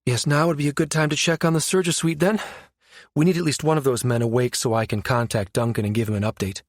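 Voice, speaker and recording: A man voicing a serious, quietly urgent woman scientist with a measured, firm manner in a science fiction audiobook. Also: mid-range voice, fairly even intonation, no echo, studio quality, voice pushed up, natural voice. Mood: resolved.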